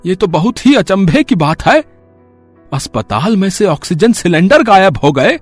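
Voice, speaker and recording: A man voicing anxious village men in an animated story, with earnest, exaggerated dramatic delivery. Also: high voice, moderate pace. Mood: alarmed, astonished. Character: anxious village men, gossiping neighbours and pleading headman. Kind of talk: animated story character acting. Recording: dry voice over music bed. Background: background music.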